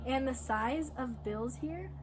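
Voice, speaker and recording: A woman's voice: high voice, slow pace. A young American woman, an exchange student, chatting casually with many fillers and little laughs. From USA. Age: young adult. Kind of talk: casual chat. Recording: noisy home recording.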